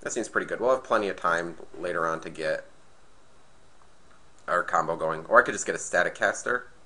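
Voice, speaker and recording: A man with a slightly raspy, nasal voice from being sick, casually commentating a card game match and thinking aloud as he plays. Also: low-pitched, a little fast, bright, forceful tone. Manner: thinking aloud, hesitant restarts. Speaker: gameplay commentator.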